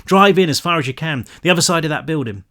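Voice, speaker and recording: A man's voice: a little high, expressive, fast talker, sharp tone. A man voicing a wary young man in a story reading, giving terse, commanding instructions. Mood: urgent.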